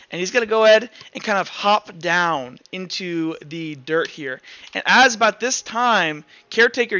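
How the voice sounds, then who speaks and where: A man with a high, forceful voice acts as game master at a tabletop game. He narrates casually and haltingly, talking straight to the players. The sound is dry and a little dull. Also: dry recording.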